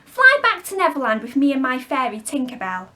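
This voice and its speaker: A woman's voice: high voice, wildly swooping pitch, fairly quick, pushed and bright, full character voice. A woman voicing an adventurous, boastful young boy who leads other children in a storybook. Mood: eager, boastful.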